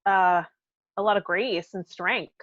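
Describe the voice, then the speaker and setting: A woman co-hosting a podcast, chatting casually in a measured, agreeable way. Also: mid-range voice, fairly bright tone, dull audio. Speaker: podcast co-host. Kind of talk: casual conversational chat.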